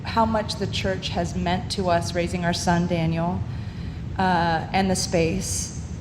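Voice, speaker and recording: A woman's voice: lowish voice, little pitch movement, slow pace. Hesitant woman in a reverberant church. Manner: hesitant, heartfelt, with many fillers. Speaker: congregant sharing joys and sorrows. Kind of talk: unscripted spoken reflection. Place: large church sanctuary. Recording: long hall reverb, loud background noise.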